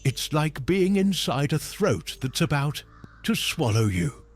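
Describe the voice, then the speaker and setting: A man voicing a frightened, fascinated woman in a gothic horror story, hesitant and hushed. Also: on the high side, very animated, a little slow, faint music behind, voice pushed up. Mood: uneasy, hushed.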